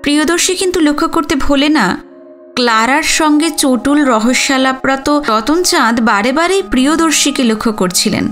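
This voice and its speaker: A woman's voice: high voice, normal speed, fairly bright tone. A woman reading a literary story as a dramatic audiobook narration. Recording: music under the voice. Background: background music.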